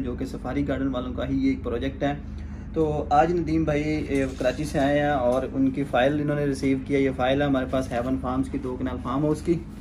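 Pakistani guy real estate agent talking from his office desk, polite, lots of uh's, noisy background. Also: mid-range voice. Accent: Pakistani Urdu. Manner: hesitant fillers, formal polite address. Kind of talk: promotional interview and sales pitch.

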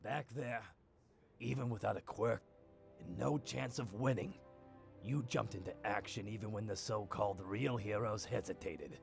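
A man playing a gruff, aging superhero mentor in a comedic anime parody, swinging between grand theatrical speech and blunt exasperated asides. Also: lowish voice, normal speed, faint music behind. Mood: solemn, blunt.

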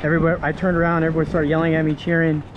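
Excited man fishing by loud surf. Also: a little high, racing, dull audio. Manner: excited, talks to the fish and the camera. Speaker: fishing vlogger. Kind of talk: casual vlog narration while fishing. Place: outdoors on a windy jetty by the sea. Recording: loud surf noise outdoors. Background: crashing surf and ocean wind.

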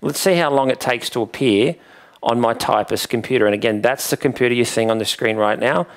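A man in his forties presents a tutorial into a headset mic. His voice has plenty of gravelly creak at a middling pitch. He explains each step in a conversational, matter-of-fact way. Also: brisk. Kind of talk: conversational instructional demonstration.

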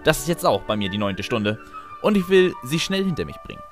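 Man, comic narrator, bossy, fumbling, animated, medium pitch. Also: fairly quick, faint music behind. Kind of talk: comic audio drama narration.

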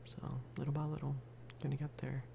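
A man giving soft-spoken ASMR game commentary in a hushed, unhurried voice that at times slips toward a whisper. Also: monotone, gravelly, noisy background. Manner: unhurried, low-key, musing aloud. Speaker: gaming video creator.

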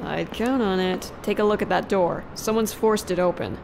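A woman's voice: on the low side, moderate pace, gravelly, background noise. An actress playing a tough, curt veteran policewoman in an audio drama, terse and commanding. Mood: wary, terse.

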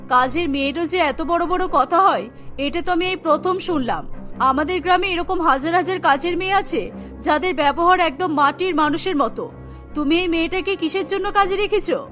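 A woman's voice: very high-pitched, moderate pace, fairly bright tone, faint music behind, voice pushed down. A woman voicing a scandalised, scolding old village mother-in-law in a cartoon story. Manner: indignant, scolding.

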